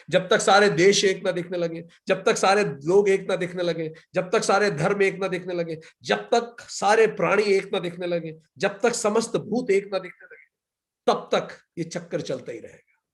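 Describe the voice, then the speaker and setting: Man, Indian accent, spiritual teacher, scripture lecture, emphatic, repetitive build-ups, rhetorical questions, slightly high voice. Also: normal speed. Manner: asks and answers his own questions.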